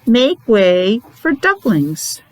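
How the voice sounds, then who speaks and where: A woman's voice: on the high side, sing-song, drawn-out words, no room sound. A woman teacher reading a picture book aloud to young children, explaining it simply in short, repetitive asides. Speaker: preschool or special-needs teacher.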